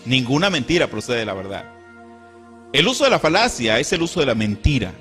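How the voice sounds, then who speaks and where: A man's voice: medium pitch, very animated, fairly quick, fairly bright tone, soft background music. A man giving a scripted sermon-style commentary, earnest and indignant as he quotes scripture.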